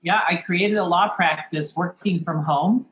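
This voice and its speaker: A woman in her fifties, a guest author and attorney, talking in a brisk, confident, matter-of-fact way. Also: fairly low pitch, roomy sound, low-quality recording. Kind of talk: casual interview chat.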